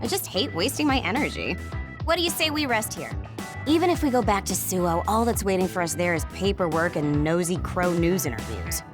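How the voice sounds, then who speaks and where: A woman's voice: fairly low pitch, sing-song, fairly quick, music in the background. A languid, lilting lady captain. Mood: lazy, teasing. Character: laid-back, lazy veteran female captain, deceptively young-looking. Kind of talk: English anime game voice acting.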